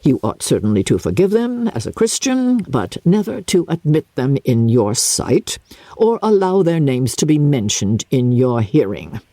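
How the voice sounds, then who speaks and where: A woman's voice: a bass voice, big pitch swings, measured pace, dark tone, no room sound, heavily acted. A woman voicing a pompous, sanctimonious clergyman with a formal, preachy manner in a dramatic novel reading. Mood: pompous, disapproving.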